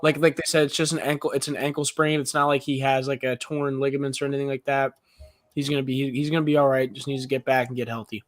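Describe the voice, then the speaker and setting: A young man co-hosting a live sports podcast, chatting excitedly and rambling through his reactions to the game. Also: medium pitch, no room sound. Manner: excitable, rambling, quick asides. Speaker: podcast co-host.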